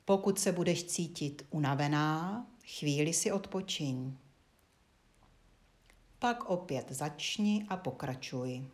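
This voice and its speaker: A woman with a fairly low voice leads a guided movement lesson. She speaks as an instructor, giving short directions and leaving frequent pauses between them. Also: a little mellow. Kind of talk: guided Feldenkrais body-awareness lesson, spoken instructions.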